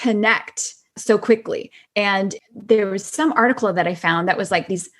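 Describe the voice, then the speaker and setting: A woman's voice: medium pitch, normal speed. A woman chatting casually as a podcast guest, enthusiastic and agreeable in a conversational way. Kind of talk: casual chat.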